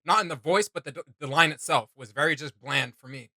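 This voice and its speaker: A man's voice: on the high side, a little fast, bright, forceful tone, dry recording, studio quality. A man hosting a reaction video, giving casual commentary in a blunt, mocking, slangy way.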